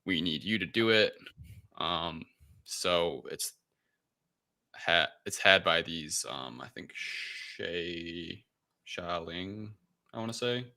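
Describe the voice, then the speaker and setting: Male podcast host, low-pitched and strikingly bright, forceful voice, loose informal recap delivery. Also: animated, slow pace, long pauses, no echo. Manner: informal, loosely improvised retelling with quoted asides. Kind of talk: casual film recap chat.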